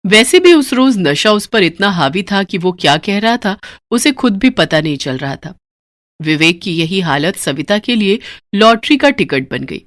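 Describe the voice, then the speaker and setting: A woman narrator with a medium-pitched, very lively voice tells a scripted story dramatically. The sound is close and dry. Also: no room sound.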